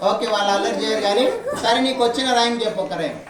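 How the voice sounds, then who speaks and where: A woman's voice: fairly high pitch, racing. A woman presenting a children's puppet show, coaxing and teasing the puppet with simple prompts in live banter. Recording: echoey hall, far mic, loud crowd noise.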